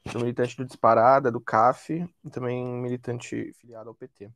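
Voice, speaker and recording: Quiet young man on a dry mic. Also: mid-range voice, level tone, normal speed, dry recording. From Brazil. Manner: quiet, checking he is heard. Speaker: economics student and activist. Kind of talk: casual self-introduction.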